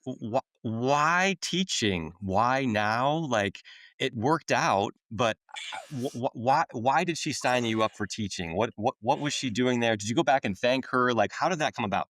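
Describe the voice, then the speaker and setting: A man hosting a podcast, asking questions haltingly and restarting his words. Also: mid-range voice, lively intonation, a bit bright, no room sound. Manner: halting questions with repeated word starts. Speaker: podcast host. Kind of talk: interviewing a guest.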